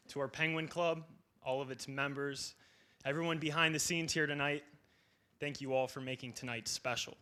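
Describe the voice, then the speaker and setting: A man's voice: on the high side, pushed and bright. A young man from northeastern Ohio, a student athlete giving an earnest, formal speech of thanks at a banquet. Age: young adult.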